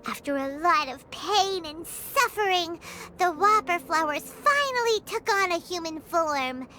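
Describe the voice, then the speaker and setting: A woman voicing a small, excitable and easily spooked fairy girl in a video game, with a squeaky childlike voice and theatrical delivery. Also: a little slow, full character voice. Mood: spooky storytelling. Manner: theatrical, refers to self in third person. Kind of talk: animated video game dialogue acting. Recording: game music behind the voice.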